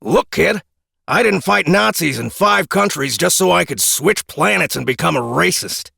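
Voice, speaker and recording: A man's voice: high-pitched, expressive, moderate pace, vocal fry, bright, forceful tone, slightly rough, no room sound, studio quality, pitched above the performer's own voice, full character voice. A man voicing a folksy, blunt grandfather in his nineties, a feisty old veteran in an audiobook. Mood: indignant. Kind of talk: audiobook character acting.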